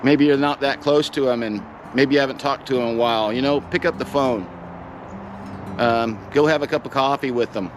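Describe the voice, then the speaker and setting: A man's voice: medium pitch, brisk, noisy background. A middle-aged man sharing a personal story in a reflective, sincere, plain-spoken way, as if chatting to viewers. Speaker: pipe vlogger telling a personal story.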